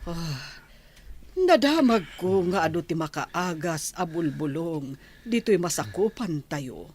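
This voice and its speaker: A woman's voice: fairly low pitch, big pitch swings, slow pace, a little mellow, slightly rough, full character voice. A woman playing a concerned, insistent middle-aged village mother in an Ilocano radio drama. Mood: persuading.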